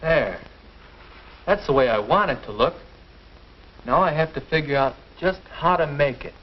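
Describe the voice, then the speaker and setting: A man's voice: a little high, lively intonation. A young American man acting as an earnest teenage boy thinking aloud in a 1950s educational film. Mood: puzzled, focused. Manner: deliberate, self-questioning, earnest. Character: earnest teenage boy working out his future. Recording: dull vintage 1950s film soundtrack.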